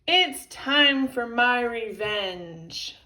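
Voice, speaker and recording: A woman voicing a pompous, furious male professor villain in a children's audiobook, declaiming loudly and indignantly. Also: fairly high pitch, lively intonation, extremely slow, full character voice. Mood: menacing, gloating.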